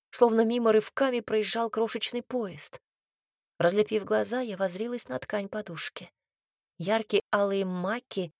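A woman narrating a first-person story as an audiobook, reading in an even, expressive way. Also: medium pitch, no room sound, clean studio sound.

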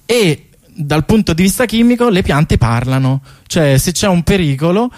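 A man's voice: high-pitched, expressive, moderate pace, a little mellow, dry recording. A man talking informally and colloquially as a guest in a radio studio, explaining things in a chatty way. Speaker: radio guest, artist presenting a bike tour project. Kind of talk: animated explanation in casual radio interview.

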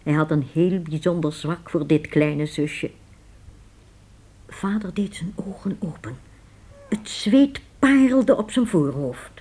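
Low, dark, husky woman narrator. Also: lively intonation, slow pace. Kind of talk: scripted storytelling narration of a radio play.

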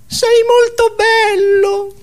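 A woman playing a naive, housebound female owl in love, candid and deadpan, in an absurdist radio sketch. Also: very high-pitched, exaggerated voice. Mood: flatly candid. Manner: deadpan, terse, unhurried.

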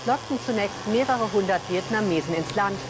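An actress playing a factual reporter reading scripted documentary-style lines in a radio drama. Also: female voice, medium pitch, brisk, background noise, natural voice. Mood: matter-of-fact. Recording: music behind.

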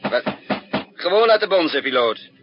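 An actor playing a resourceful fugitive spy in a 1960s Dutch radio drama, with a commanding, clipped manner. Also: male voice, on the high side, sing-song. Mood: urgent, commanding. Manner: commanding, clipped orders alternating with measured narration. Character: resourceful, urgent male fugitive spy with lost memory. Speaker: narrator and lead actor. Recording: muffled, noisy 1960s radio recording.

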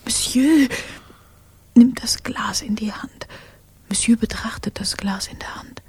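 An actress in a radio drama playing a secretive, bossy young housemaid who reports what she spies in a breathy, hushed whisper. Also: fairly high pitch, natural voice. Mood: watchful, secretive. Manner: slow, hushed, repetitive running report.

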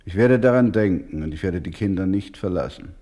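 An actor playing a steadfast, gentle doctor and guardian of children in a German radio play, speaking solemnly and formally with deliberate repetition. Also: male voice, deep voice, animated. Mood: solemn resolve.

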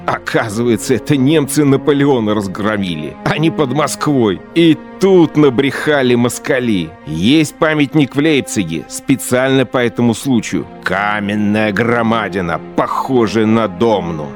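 A man's voice: medium pitch, lively intonation, measured pace, hardly any pauses, close to an everyday voice. A man playing a drunken, brutal collaborator commander in a radio play, speaking with a swaggering, mocking manner, music playing under him. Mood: boastful. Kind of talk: radio drama acting.

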